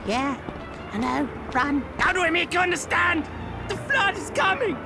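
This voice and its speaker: An actor voicing a crazed, manic prophet of doom in an animated game, ominous and cackling. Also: very high voice, moderate pace. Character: frantic, crazed doomsaying prophet creature. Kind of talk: animated game cutscene acting. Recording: loud music behind the voice.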